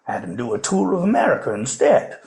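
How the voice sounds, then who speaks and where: A man acts an elderly colonial plantation owner in a radio play. His voice sits fairly high and swings widely in pitch. He is genteel and pompous, hesitating and rambling courteously. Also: wildly swooping pitch, fairly quick, vocal fry, a little mellow, heavily acted. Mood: exasperated. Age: older.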